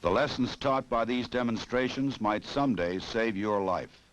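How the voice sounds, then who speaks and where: An American man narrating a 1960s instructional film in a formal, measured, authoritative way, on an old film soundtrack. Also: medium pitch, poor audio.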